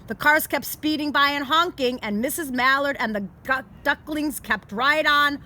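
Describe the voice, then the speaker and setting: A woman reading a children's picture book aloud in a deliberate storytime manner, outdoors in a garden. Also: sits high, pushed and bright. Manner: deliberate, showing pictures to listeners. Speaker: storytime reader. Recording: clear outdoor background noise.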